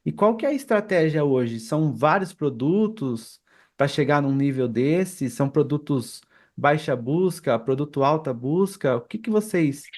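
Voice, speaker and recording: A man's voice: on the high side, animated, a little slow. A Brazilian man hosting a casual interview, often checking for agreement with little tag questions. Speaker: podcast host.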